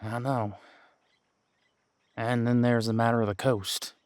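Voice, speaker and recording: A man playing a seasoned, wry detective who patiently mentors a rookie in an audio roleplay drama, musing aloud in unhurried, folksy phrasing. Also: mid-range voice, flat delivery, darkish tone, no echo, natural voice. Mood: puzzled, wry. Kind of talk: roleplay audio drama, one-sided conversation.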